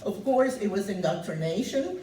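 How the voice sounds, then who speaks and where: A woman in her seventies from Cuba, speaking loudly and earnestly as she tells her life story, pausing on many fillers. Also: medium pitch, expressive, a little hiss or hum. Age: 70s. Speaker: guest speaker sharing her refugee story. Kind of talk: personal testimony talk. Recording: roomy, distant mic, faint ambience.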